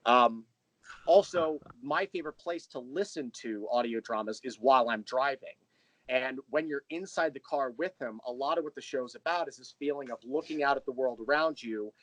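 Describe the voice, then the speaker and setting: A man's voice: mid-range voice, normal speed, a bit bright, no room sound, rough recording. Man explaining his work, close dry sound. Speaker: interview guest, audio drama creator and writer. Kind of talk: casual interview conversation.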